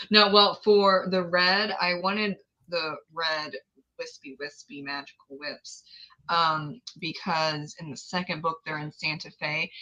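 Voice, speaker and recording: A woman with a raspy voice, chatting casually and laughing often as she hosts a book release livestream. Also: lowish voice, sharp tone, dull audio. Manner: giggly, chatty, exclaims often. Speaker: author hosting a book release stream.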